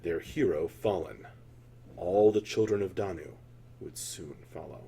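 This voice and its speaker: A man's voice: deep voice, a little slow, gravelly, dark tone, husky. A man narrating a scripted fantasy tale as a storyteller, in a solemn, theatrical manner.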